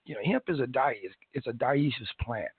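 A man's voice: medium pitch, animated, brisk, slightly rough. A man chatting casually as a guest about hemp, rambling with lots of restarts and small laughs. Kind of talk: casual interview chat. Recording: dry tinny phone-like sound.

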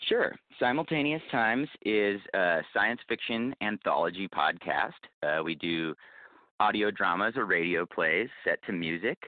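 Low-voiced man on a phone-like line. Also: animated, unhurried, dry recording. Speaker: interview guest, podcast producer. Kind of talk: conversational explanation. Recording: thin phone-like audio.

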